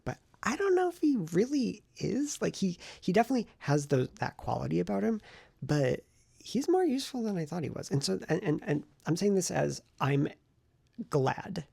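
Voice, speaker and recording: A man talking casually to camera in a vlog, rambling and thinking aloud in a self-reflective way, with a gravelly, husky voice. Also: on the high side, a little mellow, dry recording. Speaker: writing vlogger and novelist.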